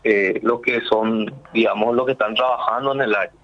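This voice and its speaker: A man's voice: lowish voice, steady tone, moderate pace, lo-fi sound, a crowd in the background. A man from Paraguay, a sign language interpreter interviewed on the radio. He explains things earnestly, with many fillers and restarts.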